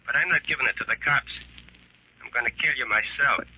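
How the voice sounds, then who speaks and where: A man's voice: medium pitch, a little fast, slightly rough, lo-fi sound. A man playing a menacing avenging caller in a 1940s radio mystery, taunting and mock-polite through a telephone filter. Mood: bitter, accusing. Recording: old 1940s radio recording.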